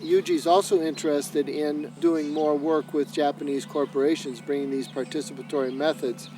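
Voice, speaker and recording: A man who works as a senior associate and lead trainer, explaining his program in a measured way with frequent pauses. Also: a little high, fairly even intonation, background noise. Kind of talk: explanatory documentary interview.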